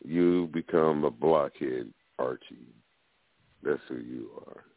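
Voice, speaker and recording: Very deep, gravelly man on phone. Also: slow pace, no room sound. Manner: mocking, drawling, profane. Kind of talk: teasing banter. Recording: dry, tinny phone line.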